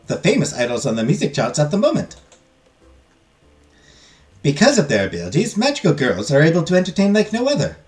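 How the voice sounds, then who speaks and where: A man voicing a prim female teacher at a magical-girl academy in a put-on Spanish-like accent, reading formal game dialogue. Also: a little high. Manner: formal, lecturing, dramatic.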